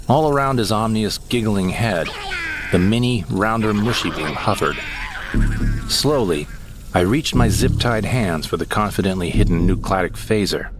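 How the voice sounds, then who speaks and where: A man's voice: on the low side, background noise. A man narrating a comic audio drama in the first person, in a wry, theatrical storytelling manner. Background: music.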